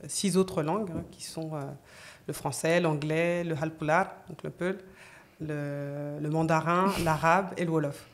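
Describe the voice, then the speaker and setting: A woman, a native Soninke speaker from France, talking about her work in a casual podcast round table, soft-spoken and hesitant. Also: sits low, fairly even intonation, unhurried. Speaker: podcast guest.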